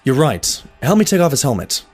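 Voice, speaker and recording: Doctor sidekick pony played by a man, earnest and flustered, rough-edged voice, swooping intonation, audio drama. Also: medium pitch, sing-song, brisk, faint music behind. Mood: concerned.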